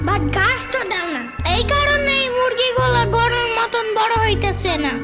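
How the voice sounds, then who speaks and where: A performer voicing a lively, bossy, curious little child in a cartoon, with music behind. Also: very high-pitched, moderate pace. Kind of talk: cartoon voice acting.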